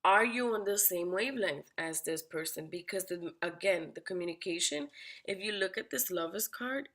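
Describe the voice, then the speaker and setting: A woman giving a weekly tarot horoscope reading, direct and questioning, her voice raspy from being under the weather. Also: on the low side, animated, moderate pace, a bit bright. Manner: direct, questioning, addresses the listener by sign. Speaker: tarot reader.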